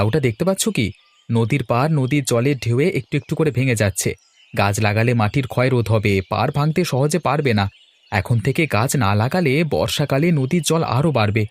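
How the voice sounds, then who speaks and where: A man voicing cartoon dialogue in a conversational, explanatory way. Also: mid-range voice. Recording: music behind the voice.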